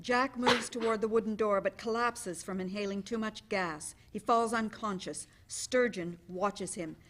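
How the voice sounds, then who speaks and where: A woman's voice: medium pitch, measured pace, background noise. A woman reading stage directions as scripted narration at a dramatic play reading.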